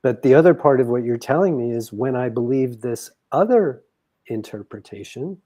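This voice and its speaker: Man, mid-pitched, husky, dark mellow tone, measured explanatory coaching talk. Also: lively intonation. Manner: measured, explanatory, deliberate phrasing. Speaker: coach in a guided conversation.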